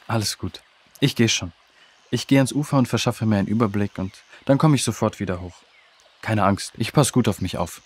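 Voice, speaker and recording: A man playing a calm, brave jungle companion in a children's audio drama, husky and reassuring. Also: fairly low pitch, a little fast.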